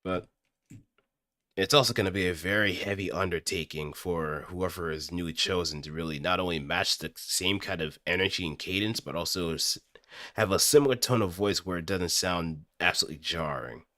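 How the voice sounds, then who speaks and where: A man chatting casually on a livestream, musing in a halting way as he thinks aloud. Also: deep voice, bright, forceful tone, dry recording, studio quality. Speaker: streamer practicing voice acting.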